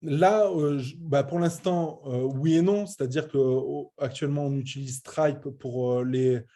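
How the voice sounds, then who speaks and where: A man's voice: a little high, steady tone, unhurried, mellow. A man in his late thirties giving an informal online business presentation, emphatic but often hesitating and repeating his words. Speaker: web entrepreneur presenting a training programme.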